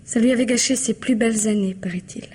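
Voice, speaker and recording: Dark, husky, defiant young lady. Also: fairly high pitch, fast talker. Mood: bitter. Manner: curt, taunting. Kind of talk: French radio mystery drama acting.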